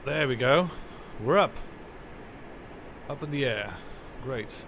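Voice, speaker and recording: A man's voice: medium pitch, lively intonation, slow, gravelly, fairly bright tone, a little hiss or hum, rough recording. A man whose first language is Dutch, giving rambling, self-correcting live commentary while streaming a game from home.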